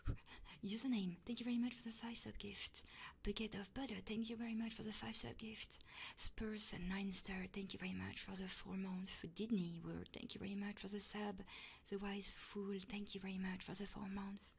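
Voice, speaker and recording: A woman live streamer chatting casually and playfully in a soft, breathy ASMR style, often whispering, close to the microphone. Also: medium pitch, little pitch movement, moderate pace. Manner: casual and playful, swearing freely.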